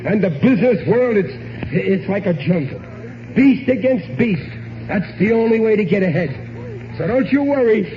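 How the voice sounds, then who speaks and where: A noisy, muffled old radio sitcom recording of a blustering, big-hearted lug of a husband. His voice rides high, cocky and pompous as he schemes. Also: expressive, normal speed. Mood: pleading, boastful. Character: blustering, dim, big-hearted working-class husband and father. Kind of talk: 1940s radio sitcom acting.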